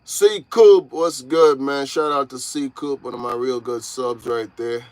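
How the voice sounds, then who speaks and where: A man's voice: medium pitch, steady tone, a little slow, a little mellow, dry recording. A man in his thirties, originally from Jamaica, chatting casually with viewers from inside his parked truck cab. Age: 30s. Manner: laid-back, talks straight to viewers, calls them y'all. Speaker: truck driver vlogger. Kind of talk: casual livestream chat.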